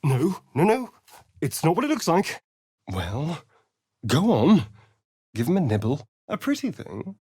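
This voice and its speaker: An actor playing a suave, sardonic vampire rogue in a video game, vain and theatrical, with an arch, drawling delivery. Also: male voice, fairly high pitch, wildly swooping pitch, measured pace, long pauses, mellow, breathy edge, no echo, clean studio sound, exaggerated voice. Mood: agitated, then sly, droll. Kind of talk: dramatic video game character lines and narration.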